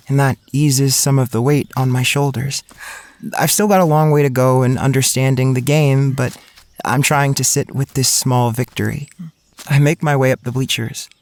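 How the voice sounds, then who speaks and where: A man's voice: medium pitch, darkish tone, natural voice. An actor playing a shy, anxious young male student, narrating in the first person in an audio drama with an earnest, introspective manner. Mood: reflective. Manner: earnest, introspective, hesitant in dialogue.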